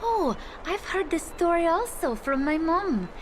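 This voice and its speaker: A woman's voice: very high-pitched, big pitch swings, a little slow, background noise, heavily acted. A woman playing a kind, chatty, earthy tavern hostess in a video game, with a coaxing, affectionate, folksy manner. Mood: folksy, nostalgic. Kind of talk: video game cutscene acting.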